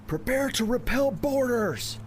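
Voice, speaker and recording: A man's voice: very high voice, lively intonation, unhurried, a little hiss or hum, voice pushed up. A man voicing a commanding privateer captain giving dramatic orders in an audio story. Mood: commanding, urgent.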